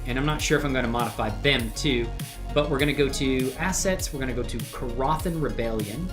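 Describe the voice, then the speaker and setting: A man's voice: medium pitch, a little fast. Middle-aged male streamer, mid-range voice, chatty and keen, informal screen walkthrough with background music. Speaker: livestream host and hobby animator. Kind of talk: casual livestream chat with screen demonstration.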